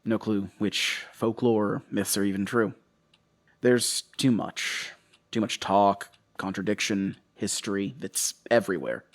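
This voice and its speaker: An actor playing a troubled, defensive investigator in a horror audio drama, halting, rambling and confessional. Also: male voice, on the low side, natural voice. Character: troubled, defensive male investigator recounting his case.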